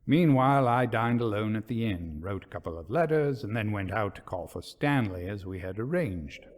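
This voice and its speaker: A man reading a literary ghost story as a storyteller, in measured, dramatic, formal narration. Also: fairly low pitch, expressive.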